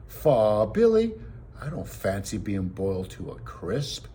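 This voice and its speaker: A man voicing a skinny, crude outlaw sidekick in a storybook, excitable and blurting out his words. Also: on the low side, slow, exaggerated voice. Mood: alarmed.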